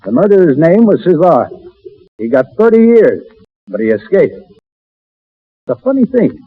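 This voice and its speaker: Cocky, husky-voiced 1930s radio legionnaire. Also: male voice, fairly high pitch, very animated, unhurried, full character voice. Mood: defiant, taunting. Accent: American. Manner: cocky, sardonic, slangy. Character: insolent, wisecracking Foreign Legion soldier, cynical and defiant. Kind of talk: 1930s radio drama acting. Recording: muffled, narrowband 1930s radio broadcast.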